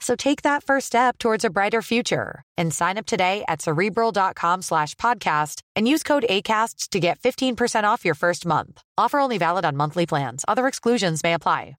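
A woman's voice: lowish voice, lively intonation, brisk, few pauses, fairly bright tone, no room sound, clean studio sound. A woman reading a scripted promotional voice-over for an advert.

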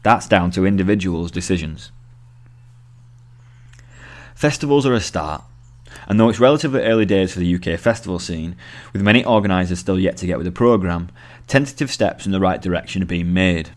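A man's voice: low-pitched, animated, brisk, long pauses. A man narrating a scripted long-form article as the journalist who wrote it. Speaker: journalist reading own article.

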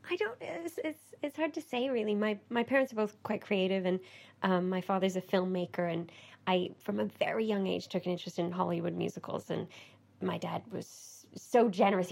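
This is a Canadian woman in her thirties, a dancer being interviewed. Her voice sits slightly low and has a gravelly fry to it. She talks softly, pausing with ums and laughing easily. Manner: soft-spoken, hesitant with many ums, laughs easily. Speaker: ballet dancer guest. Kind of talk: casual interview. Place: theatre in Leeds.

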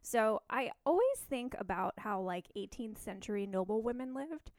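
A woman's voice: a little high, very animated, moderate pace, darkish tone, no room sound, clean studio sound. A woman in her thirties co-hosting a podcast, talking in a chatty, rambling way with plenty of fillers. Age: 30s. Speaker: podcast co-host. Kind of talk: casual chat.

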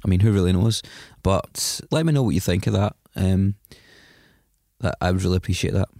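A man's voice: sits low, brisk, mellow, dry recording, clean studio sound. Sniffly Scottish man hosting a podcast. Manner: chatty, self-deprecating, rambling. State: has a cold, sniffly. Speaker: podcast host. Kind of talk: solo podcast intro monologue.

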